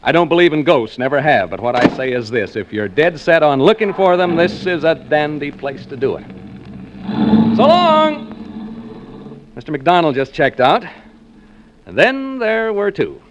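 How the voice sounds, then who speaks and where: A man's voice: fairly high pitch, very animated, unhurried, pauses often. A man acting a jokey, folksy American radio host turned ghost hunter, in 1940s radio drama style with theatrical emphasis. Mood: joking, jittery. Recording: noisy old radio show recording.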